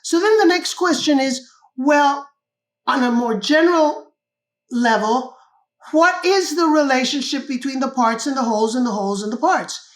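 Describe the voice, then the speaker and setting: A woman's voice: high voice, moderate pace. An older woman from Cuba, a guest author explaining ideas in an interview and often checking in with "correct?".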